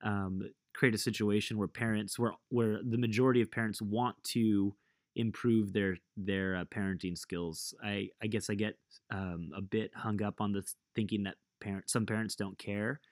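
Low-voiced, dry-sounding male host. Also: fairly even intonation, moderate pace, no room sound. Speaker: podcast host. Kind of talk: casual interview conversation.

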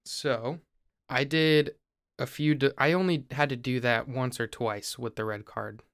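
A young man from Ohio, host of a podcast, explaining things in a relaxed, casual way with small restarts. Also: medium pitch, measured pace, dry recording, studio quality. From Ohio, USA.

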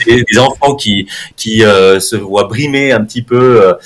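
A man's voice: on the low side, a little slow. A middle-aged man from France, answering interview questions in a conversational way, with many fillers and restarts. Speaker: guest, marketing institute dean.

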